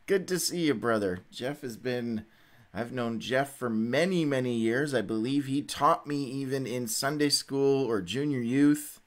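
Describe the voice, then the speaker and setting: A middle-aged man speaks in a medium-pitched voice to his livestream audience. His delivery is casual and conversational, in loose stop-and-start phrases, as he leads a devotional session. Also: unhurried. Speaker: host of a Bible read-along livestream.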